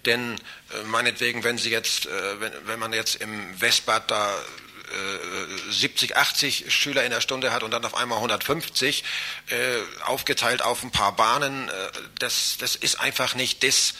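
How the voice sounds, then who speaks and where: A man answering interview questions as a citizens' association chairman, halting and rephrasing himself as he goes. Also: mid-range voice, pushed and bright.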